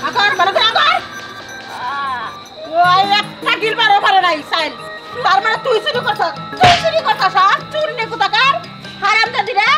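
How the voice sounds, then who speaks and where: A woman's voice: extremely high pitch, animated, normal speed, pauses often. A woman playing a suspicious, bossy village grandmother, scolding shrilly in a rural Bangladeshi dialect. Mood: accusing, angry. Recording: music bed under dialogue.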